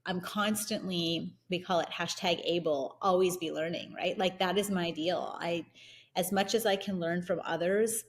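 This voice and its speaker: A woman whose first language is Italian, telling her investing story as an interview guest in an energetic, fast, filler-heavy way. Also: lowish voice. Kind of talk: casual interview conversation.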